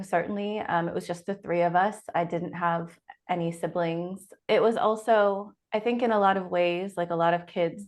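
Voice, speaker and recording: A woman in her forties from the Pacific Northwest, answering interview questions reflectively as an author guest. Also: on the low side. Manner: reflective, thinks aloud with restarts.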